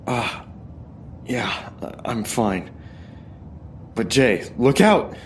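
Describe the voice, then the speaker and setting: A man playing a sheepish young superhero in an audio drama, talking in a halting, hesitant way. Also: mid-range voice, very animated, slight background noise. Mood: dazed. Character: sheepish, loyal young male superhero sidekick, indestructible.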